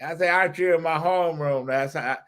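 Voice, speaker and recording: A man's voice: fairly high pitch, fast talker, a bit bright, smooth. A middle-aged man from the Detroit area hosting a podcast, talking boastfully and stumbling over his words. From Detroit area, Michigan. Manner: boastful, stumbling, laughs at own jokes. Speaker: podcast host. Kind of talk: casual podcast chat.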